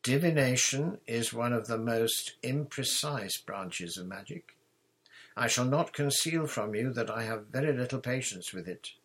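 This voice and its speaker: A man's voice: medium pitch, expressive, measured pace, a bit bright, breathy edge, voice pushed up. A man voicing a stern older woman who teaches magic, in a precise, dry, matter-of-fact storybook manner.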